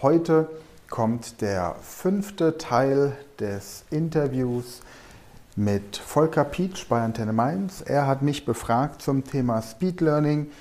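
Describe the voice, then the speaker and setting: A man's voice: medium pitch, expressive, slow pace, darkish tone. A middle-aged German man hosting his podcast and telling anecdotes enthusiastically in an interview. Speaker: podcast host and learning coach. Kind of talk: podcast intro and radio interview.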